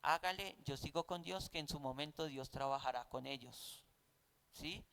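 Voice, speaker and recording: Male Bible teacher, Colombian accent, high smooth voice, conversational preaching with rhetorical questions. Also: moderate pace, fairly bright tone.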